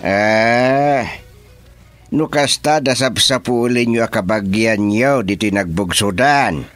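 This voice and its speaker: A man plays a practical village chairman in a radio drama. He speaks slowly in a fairly low voice with an Ilocano accent, helpful in manner and recorded dry. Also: fairly bright tone, dry recording, soft background music. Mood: practical, concerned.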